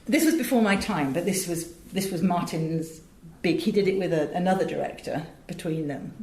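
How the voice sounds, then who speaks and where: An English woman in middle age speaks in a fairly low voice with a roomy echo around it. She is articulate and talkative, telling stories in a conversational interview style and wandering into asides. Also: moderate pace, a little mellow, a little hiss or hum. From England. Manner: chatty, digressive, articulate. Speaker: interview guest, voice director and radio producer. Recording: echoey, roomy sound.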